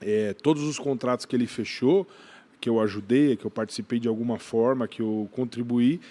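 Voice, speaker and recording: A Brazilian man talks as a guest on a podcast, in a medium-pitched voice. His delivery is casual and halting, with repeated words and restarted phrases. He often tacks a brief questioning tag onto what he says. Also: fairly even intonation, warm tone. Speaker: podcast guest, gym brand owner.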